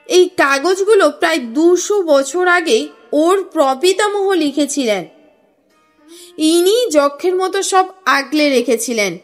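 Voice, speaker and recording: A woman voicing an earnest, courteous businessman in an audio story, speaking in a formal, measured, explanatory way. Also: high voice, expressive. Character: earnest, courteous businessman recounting his family history. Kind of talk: audio story character reading. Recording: music under the voice.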